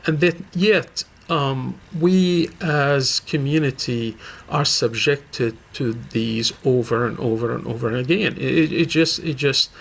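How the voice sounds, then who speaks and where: Middle-aged man from Syria, gravelly, halting, earnest, restarts often. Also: mid-range voice, a bit bright. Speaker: guest from a local Islamic center. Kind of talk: radio interview.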